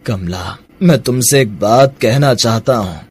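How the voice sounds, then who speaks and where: A man voicing a gentle, grateful elderly man in an animated moral story, speaking slowly and solemnly. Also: medium pitch, husky, no room sound. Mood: solemn, warm. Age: older. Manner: slow, solemn.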